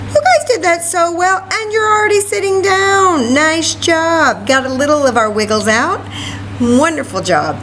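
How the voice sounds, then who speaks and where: A woman's voice: extremely high pitch, animated, unhurried, a bit bright, a little hiss or hum, poor audio. A woman hosting story time for young children, chatty and encouraging, talking to them directly with frequent asides. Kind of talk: sing-song story time for young children, singing, read-aloud and chatting.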